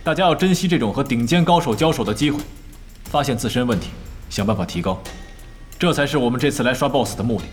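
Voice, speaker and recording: An actor playing a composed, authoritative esports team captain in an audio drama, speaking in a measured, serious manner. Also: male voice, medium pitch, expressive, over music, close to an everyday voice. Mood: firm, encouraging. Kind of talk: Chinese audio drama acting.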